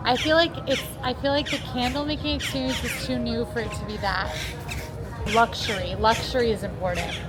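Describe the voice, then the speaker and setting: A woman's voice: a little high, steady tone, fairly quick. A woman co-hosting a theme park review in casual, conversational chat. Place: outdoors in a busy shopping district. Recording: loud music behind the voice.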